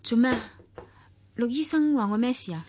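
An actress playing a troubled wealthy wife and devoted mother in a Hong Kong Cantonese radio drama, earnest and expressive. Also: a little high, fairly quick, big-room sound, a little hiss or hum, natural voice. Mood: subdued, puzzled. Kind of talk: Hong Kong radio drama acting and first-person narration.

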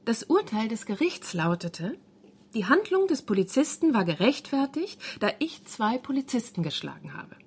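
A woman's voice: fairly high pitch, sing-song, moderate pace, slightly rough, exaggerated voice. A woman voicing the testimony of a wronged wife as a documentary voice-over. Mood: indignant recounting. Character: wronged wife of an abducted man, recounting events. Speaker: voice-over translator. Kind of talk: German voice-over of interview testimony.

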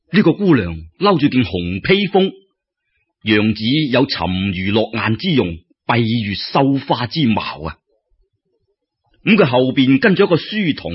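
Male Cantonese radio storyteller: husky, animated, colloquial narration with exclamations; dull lo-fi recording. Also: medium pitch. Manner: colloquial, expressive, with exclamations and drawn-out particles. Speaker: storyteller, radio show host.

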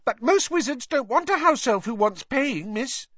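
An audiobook narrator voicing an excitable male house elf, squeaky and shrill, eager and servile, speaking of himself in the third person. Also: normal speed, slightly rough, no echo, muffled recording, full character voice. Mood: earnest. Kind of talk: audiobook character voice.

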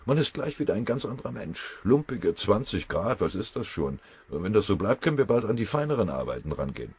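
A man's voice: lowish voice, very animated, fairly quick, darkish tone, slightly rough, pitched above the performer's own voice, exaggerated voice. A man voicing a bossy but fatherly German foreman in a war story, grumbling and barking sarcastic orders. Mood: hearty, sardonic.

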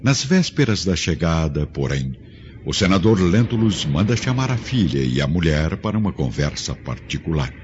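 A man's voice: low voice, sing-song, moderate pace, darkish tone, slight background noise. A man delivering scripted radio drama narration in a solemn, literary manner. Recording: muffled audio under music.

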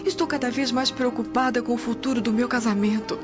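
Anxious Roman wife, played by an actress, over music. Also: female voice, on the high side, steady tone, fairly quick, lightly acted. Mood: worried. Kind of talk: radio drama acting. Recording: dull lo-fi audio over music.

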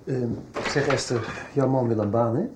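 A man's voice: mid-range voice, a little slow, noisy background, natural voice. An actor playing a middle-aged father in a Dutch radio soap. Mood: affable. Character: middle-aged father, halting and kindly, stern when crossed.

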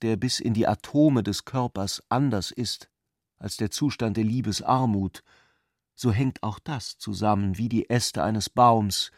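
A man's voice: medium pitch, a little mellow, no echo. Dry, studio-close male literary narrator. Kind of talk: literary audiobook-style scripted narration.

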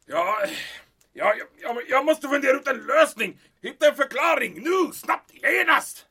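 A man playing a flustered, blustering German military commandant in a radio drama, with a German accent, stammering and repeating himself in a strained, gravelly voice. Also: very high voice, full character voice. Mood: frantic. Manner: stammering, repeating words, excitable.

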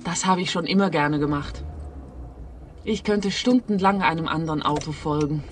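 A woman's voice: deep voice, moderate pace, music in the background, close to an everyday voice. An actress playing an eager, naive young woman training as a private detective in a radio crime comedy, chatty and earnest. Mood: chatty, self-assured.

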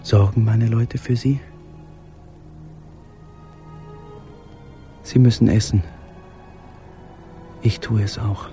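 A man acting a brooding, tender, secretive letter writer in a radio play, with halting, deliberate phrasing. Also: lowish voice, fairly even intonation, dark tone, soft background music, natural voice. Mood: concerned, wry.

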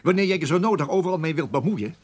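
A man's voice: a little high, lively intonation, fast, slightly rough. An actor playing an exasperated, sarcastic male boss who rants and stammers with indignation in a radio play. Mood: furious sarcasm.

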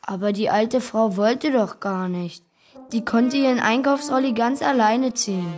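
Woman as cheeky, keen young boy hero-in-waiting, raised pitch, taking his time, children's radio drama. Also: a little slow, darkish tone, natural voice. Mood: cheeky, confident. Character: eager cheeky young boy posing as superhero's son. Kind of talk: children's radio play acting.

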